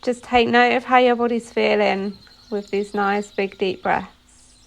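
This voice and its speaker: A woman leading a guided meditation as a yoga nidra instructor, giving soothing instructions phrase by phrase with pauses. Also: mid-range voice, steady tone, gravelly.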